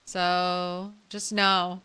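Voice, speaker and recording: A woman streamer chatting softly and casually with her viewers while playing a game, thanking them as she goes. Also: lowish voice, extremely slow, smooth. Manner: soft, relaxed, thanks viewers. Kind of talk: casual live-stream chat while gaming.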